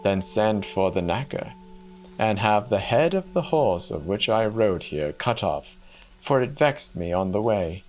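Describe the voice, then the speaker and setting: A man's voice: on the low side, sing-song, unhurried, darkish tone, faint music behind. A man voicing a scheming young false bride in a fairy tale, imperious and coaxing.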